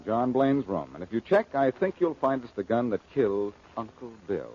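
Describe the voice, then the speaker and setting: An actor playing a glib, wisecracking American amateur detective in a 1940s radio drama, teasing and self-assured. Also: male voice, mid-range voice, big pitch swings, normal speed. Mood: assured, explaining. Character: cocky, wisecracking male amateur detective, ex-crook. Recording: muffled, noisy 1940s radio recording.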